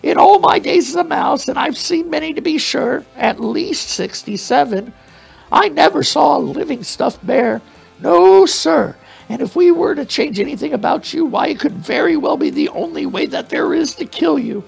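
A man voicing a crafty, learned little male mouse who speaks in a squeaky, flowery, grovelling way. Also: animated, normal speed, vocal fry, exaggerated voice, poor audio. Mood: pleading. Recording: faint music behind.

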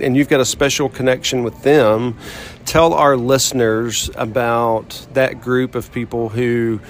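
A man hosting an interview podcast, asking friendly, conversational questions. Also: medium pitch. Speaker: podcast host.

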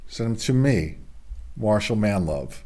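A middle-aged man with a hoarse voice, hosting a casual sports roundup from a home studio, with rambling, self-deprecating asides. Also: lowish voice, lively intonation, a little slow, mellow. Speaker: sports podcast host.

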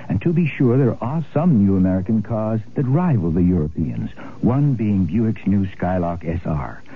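A man reading a scripted 1970s radio car commercial in a polished, persuasive announcer style. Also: lowish voice. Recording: tinny old radio broadcast with faint noise.

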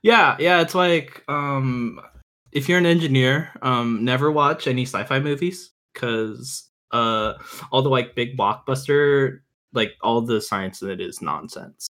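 A man's voice: mid-range voice, unhurried, a bit bright. Opinionated young man chatting as podcast guest. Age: young adult. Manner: informal, opinionated. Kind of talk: casual chat.

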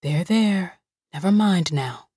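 A woman doing a calm, soothing older woman. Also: lowish voice, slow, husky, dry recording, studio quality, heavily acted.

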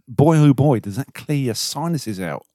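A man's voice: medium pitch, lively intonation, normal speed, mellow, no echo, studio quality. A British man co-hosting a podcast, chatting casually and laughing at his own stories. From Britain. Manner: chatty, self-mocking, laughs while telling stories. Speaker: co-host.

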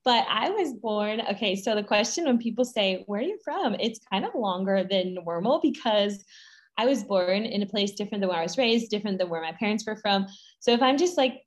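A woman's voice: medium pitch, lively intonation, fairly quick. A woman in her thirties, raised in Guatemala and Mexico, chatting as a podcast guest, bubbly and rambling with frequent laughter. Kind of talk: casual interview chat.

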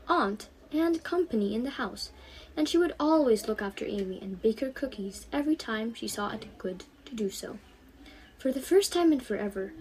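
High-voiced teenage girl reading a story. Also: darkish tone, slight background noise, low-quality recording. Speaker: author reading her own story. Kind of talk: storybook read-aloud narration.

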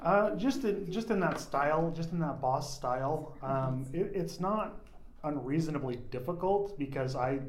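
A man in a casual podcast chat, explaining in a relaxed way with small hesitations. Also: on the high side, normal speed, reverberant.

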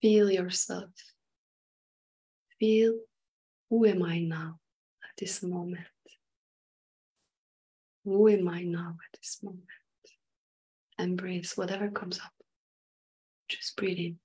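Slow, breathy woman guiding meditation. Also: on the low side, steady tone, dark tone. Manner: slow, pausing, in short halting phrases. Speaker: guided meditation leader. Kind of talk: unscripted guided meditation.

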